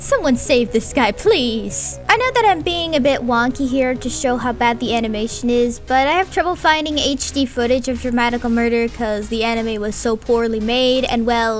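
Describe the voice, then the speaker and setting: A woman giving a sarcastic, swearing anime review with mock-dramatic asides. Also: sits high. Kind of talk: sarcastic video review commentary.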